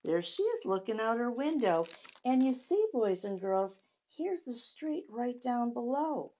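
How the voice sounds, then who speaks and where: A woman teaching and reading a story aloud to young children, speaking in simple, deliberate phrases and asking them questions. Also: a little high, sing-song, mellow, lo-fi sound.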